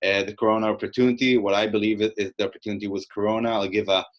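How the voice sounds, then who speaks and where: Webinar presenter: man, lowish voice, chatty, heard over a video call. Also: flat delivery, very fast. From Australia, half. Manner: conversational, many fillers, presenting slides. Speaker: webinar speaker.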